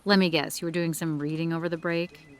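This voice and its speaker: A woman's voice: low-pitched, little pitch movement, fast talker, close to an everyday voice. A woman playing a resentful, sardonic office coworker in an audio drama. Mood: resentful, sarcastic. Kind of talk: animated audio drama acting.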